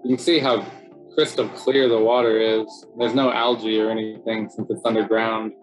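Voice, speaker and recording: A middle-aged man, presenting a slideshow in a relaxed, chatty way full of "so yeah" and "um", speaking over a video call from home. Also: lowish voice, moderate pace, soft background music, poor audio. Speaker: city cave biologist presenting. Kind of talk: casual narrated slideshow talk.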